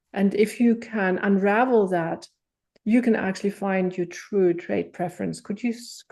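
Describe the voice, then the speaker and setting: A woman's voice: mid-range voice, vocal fry, dark tone. A woman hosting a podcast, asking long, thoughtful questions and often restarting as she builds them. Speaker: podcast host. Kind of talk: interview questions.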